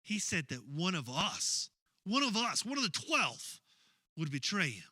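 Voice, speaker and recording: An actor playing an earnest, uneasy disciple of Jesus, telling his story in a halting, conversational radio theater monologue. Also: high voice, very animated, bright, forceful tone, no room sound, studio quality, heavily acted. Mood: grave. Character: earnest, uneasy male disciple of Jesus recalling the last supper. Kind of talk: dramatic first-person radio theater monologue.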